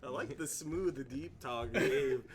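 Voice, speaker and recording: A man's voice: on the high side, sing-song, takes it slowly, a loud crowd. A man teasing his co-hosts in casual chat, laughing often. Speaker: show co-host. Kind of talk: casual banter among co-hosts.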